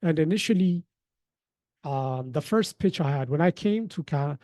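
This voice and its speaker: A man's voice: on the high side, lively intonation, moderate pace, mellow, no room sound. A man in his thirties from Ethiopia, presents an informal webinar in a conversational, explanatory way, thinking aloud as he goes. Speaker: webinar host, company founder.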